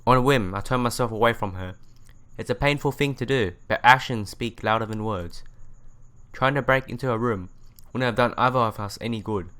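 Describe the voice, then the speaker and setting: A man's voice: lowish voice, a bit bright. A man narrating a visual novel in an earnest, dramatic read-aloud manner. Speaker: let's play narrator.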